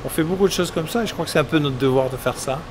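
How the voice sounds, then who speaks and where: A middle-aged man from England, a musician, answering interview questions in a relaxed, rambling way with many hesitations. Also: fairly high pitch, very fast. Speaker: musician guest. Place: outdoors at a summer concert event. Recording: outdoor street noise behind. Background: traffic and street ambience.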